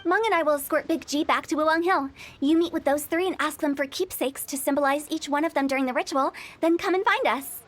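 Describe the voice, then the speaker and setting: A woman's voice: high-pitched, quick pace, hardly any pauses, natural voice. An actress playing a mischievous, self-assured young woman who runs a funeral parlor, teasing and giggly. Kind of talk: video game voice acting.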